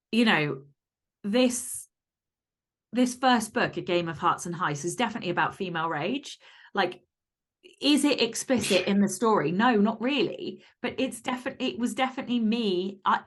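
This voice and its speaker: A woman's voice: medium pitch, animated, moderate pace, fairly bright tone. A woman chatting candidly as an interview guest, speaking in an emphatic, blunt and sweary way. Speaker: podcast guest, fiction author. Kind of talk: casual, candid interview chat.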